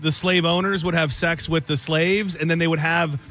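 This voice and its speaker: Bright-voiced rambling radio host. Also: male voice, fairly high pitch, fairly even intonation, moderate pace, faint music behind. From Michigan. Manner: rambling, self-deprecating storytelling. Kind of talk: casual radio banter.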